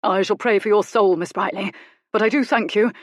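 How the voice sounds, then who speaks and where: A woman voicing a pompous, self-righteous Regency gentleman writer who speaks with indignant force. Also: medium pitch, fairly quick, no echo, clean studio sound, close to an everyday voice. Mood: outraged.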